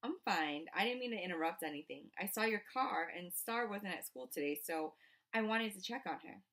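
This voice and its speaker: A woman's voice: fairly low pitch, expressive, moderate pace, a bit bright. Woman voicing a polite, hesitant teenage boy. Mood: nervous, polite.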